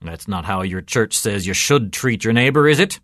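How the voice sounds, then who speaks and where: A man voicing a cynical, gruff police sergeant in a story, clipped and sardonic in his delivery. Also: fairly low pitch, lively intonation, normal speed, a bit bright, dry recording, studio quality. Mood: sardonic, taunting. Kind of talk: storytelling character voice.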